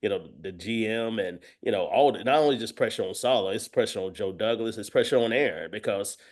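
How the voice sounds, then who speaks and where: A man's voice: on the low side, brisk, a little mellow. A man talking as a guest sports analyst, giving conversational football commentary sprinkled with verbal tics like "you know".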